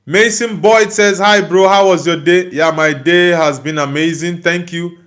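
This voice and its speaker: Young nigerian guy on a livestream, kinda high voice, reading out chat and answering, keeps asking for likes. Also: fairly even intonation, measured pace, a bit bright. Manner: reads viewer comments aloud and answers each one; friendly, often asks for likes and subscribes. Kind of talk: casual live chat.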